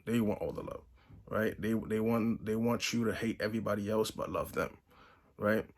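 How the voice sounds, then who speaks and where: A man in his twenties from Haiti, talking earnestly to camera as a channel host, often restarting his sentences and tagging them with "right?". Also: lowish voice. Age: 20s. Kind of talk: casual vlog monologue. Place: Buffalo, New York.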